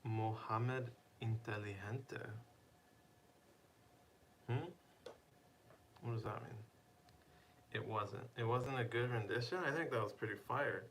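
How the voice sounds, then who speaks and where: Young man, low voice, playful stream chat, faint hiss behind. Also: steady tone, slow, long pauses, fairly bright tone. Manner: playful, teasing, asks viewers questions. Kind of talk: casual live-stream chat reading viewer comments.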